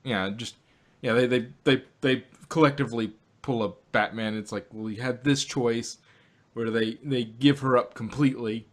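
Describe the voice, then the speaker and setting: A man's voice: mid-range voice, steady tone, normal speed. Man co-host explaining, many restarts. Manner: restarts often, explains references. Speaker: podcast co-host. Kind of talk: casual review chat.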